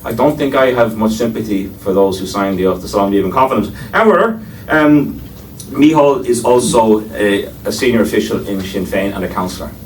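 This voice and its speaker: Irish male chairman, low voice, wry and hesitant, distant mic with faint hiss in a lecture room. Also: brisk. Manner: wry, digressive, with frequent hesitations. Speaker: chairman of a panel discussion. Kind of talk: formal panel introduction. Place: lecture room before an audience.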